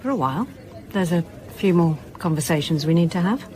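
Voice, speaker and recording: A woman playing a brisk British police chief inspector in a naturalistic radio drama, questioning politely but insistently. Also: lowish voice, expressive, mellow, a little hiss or hum, lightly acted. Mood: businesslike.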